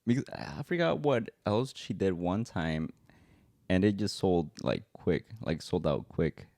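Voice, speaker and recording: Young male podcast co-host with a Spanish accent, very low mellow voice, relaxed and hesitant, dry mic. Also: a little slow, gravelly, no echo. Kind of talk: casual chat.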